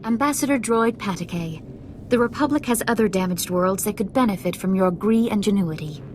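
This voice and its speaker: An actress playing a polished senator in a video game, formal, articulate and diplomatic. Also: female voice, mid-range voice, normal speed, a little mellow, a little hiss or hum, natural voice. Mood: diplomatic, hopeful. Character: polished, grateful female senator. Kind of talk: video game character acting.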